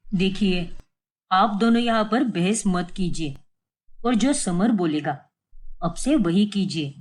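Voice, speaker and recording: A woman voicing a sensible male doctor who speaks in a measured, matter-of-fact way. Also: mid-range voice, darkish tone, voice pushed down. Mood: serious.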